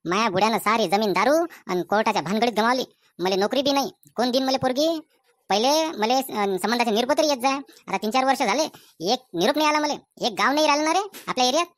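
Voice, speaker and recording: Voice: mid-range voice, quick pace, fairly bright tone, dry recording, lightly acted. A performer voicing a glum, self-pitying village man of thirty-six in a comic cartoon, grumbling in colloquial Varhadi Marathi from Vidarbha. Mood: complaining. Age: 30s. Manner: colloquial, grumbling, rhetorical questions.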